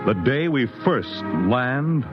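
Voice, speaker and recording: A man's voice: mid-range voice, expressive, slow. A man narrating as a 1950s radio announcer in a dramatic, portentous scripted style. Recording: dull vintage radio, loud music behind.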